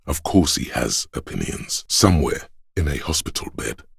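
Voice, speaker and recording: A solemn narrator for a detective video game. The voice is extremely deep, full of gravel and very husky. It sits close and dry, delivering literary narration with measured gravity. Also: male voice, sing-song, no room sound, heavily acted. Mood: grave, ominous. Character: solemn inner voice of police camaraderie, narrating. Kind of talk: literary second-person scripted narration.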